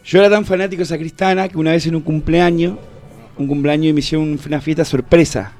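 A man rambling excitedly on a radio show, mocking himself. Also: a little high, fast talker, faint music behind. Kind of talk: joking radio chat.

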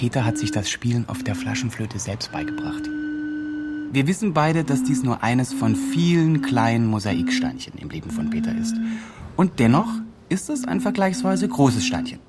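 A man's voice: mid-range voice, big pitch swings, moderate pace, heavy background noise, close to an everyday voice. A man playing an earnest, emphatic promoter who presents a homeless man's online fundraising campaign in a radio drama. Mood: proud, narrating. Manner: earnest, emphatic, self-justifying. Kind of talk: scripted radio drama, mock video-blog narration.

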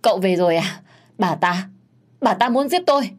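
A woman voicing a frightened young woman who pleads and shouts her innocence. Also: medium pitch, expressive, normal speed, pitched above the performer's own voice, close to an everyday voice. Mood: frightened defiance. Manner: pleading, defiant, shouting back. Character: terrified young woman accused of murder.

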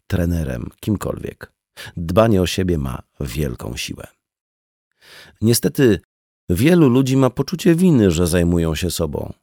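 Low mellow guy reading an audiobook, really dry close sound. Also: no echo. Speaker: audiobook reader. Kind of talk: scripted narration, audiobook reading of a dialogue.

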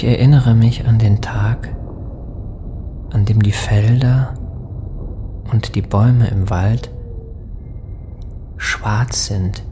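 An actor playing a lost soul in a poetic radio drama, halting and brooding, with a breathy, half-whispered voice. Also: male voice, on the low side. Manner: slow, halting, hushed, brooding. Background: music.

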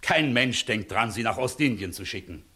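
Authoritative senior official, stern and dignified, widely swinging intonation, 1960s radio drama. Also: male voice, lowish voice, expressive, moderate pace, pushed and bright. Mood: indignant. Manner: authoritative, clipped commands. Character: stern, dignified visiting court inspector, exasperated authority. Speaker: actor. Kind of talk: 1960s German radio play acting.

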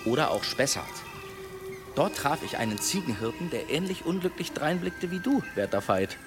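An actor playing a boastful, theatrical demon hunter who spins tall tales with grand relish in a German historical audio drama. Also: male voice, mid-range voice, close to an everyday voice.

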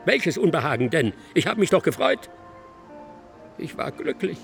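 An actor playing a crushed, self-pitying unemployed academic in a radio drama, aggrieved and wounded. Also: male voice, on the high side, very animated, normal speed, creaky. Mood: wounded, sarcastic. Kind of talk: German radio drama monologue. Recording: music loud behind the voice.

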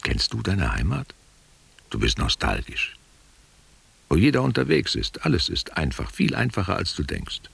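A man playing a nostalgic, tender old man in a literary radio play, husky and gravelly, speaking intimately and reflectively. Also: deep voice, wildly swooping pitch, moderate pace, fairly bright tone, full character voice. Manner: intimate, reflective, drifting between memory and direct address. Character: nostalgic old man, former only child, tender and wistful.

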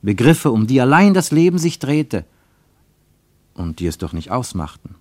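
An actor as an expressive, earnest male narrator. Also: medium pitch, normal speed, no room sound, clean studio sound, close to an everyday voice. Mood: contemplative. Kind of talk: literary third-person narration, radio play.

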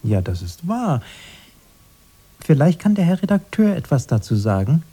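A man's voice: a little high, big pitch swings, moderate pace, dark tone, breathy edge, exaggerated voice. An actor in a radio drama playing a plaintive, doubting man who is polite to his interviewer but with a pointed edge.

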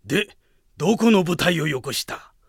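An actor playing an old general, fearful and blustering, with a gravelly, husky voice, in a radio drama. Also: male voice, fairly high pitch, animated, moderate pace, no room sound, clean studio sound. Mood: eager. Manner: old man's speech, agitated.

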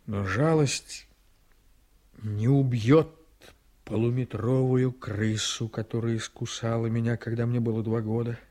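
An actor playing an ambitious, cynical, domineering politician and prosecutor in a radio drama, with a theatrical, emphatic delivery that moves between confiding and fierce. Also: male voice, medium pitch, steady tone, a little slow, warm tone, close to an everyday voice. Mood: bitter, intense. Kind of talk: Soviet radio drama acting.

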